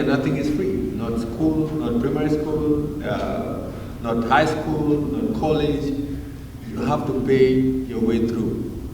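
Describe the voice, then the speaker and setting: A man's voice: mid-range voice, heavy reverb, big-room sound, recorded from a distance, background noise. A man with a Kenyan accent telling stories from his life to a live audience in a casual, engaging way. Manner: casual, engaging the crowd with questions. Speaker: guest speaker. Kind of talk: storytelling talk to a live audience.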